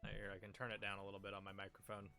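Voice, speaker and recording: Relaxed male game streamer, faint music. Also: fairly low pitch, racing. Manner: relaxed, rambling with fillers and jokes. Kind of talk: casual live-stream chat. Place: home streaming setup. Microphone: desk microphone.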